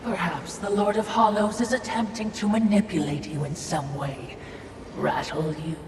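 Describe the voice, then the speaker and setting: A woman's voice: mid-range voice, normal speed, lightly acted. A woman voicing a sly, sardonic female spirit servant in a video game, sarcastic and falsely deferential. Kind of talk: dramatic video game acting. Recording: echoey, distant, noisy game mix.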